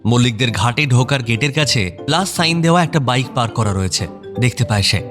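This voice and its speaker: A male storyteller delivers dramatic scripted narration at a middling pitch. His voice carries a long reverb, with faint music underneath. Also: quick pace, fairly bright tone.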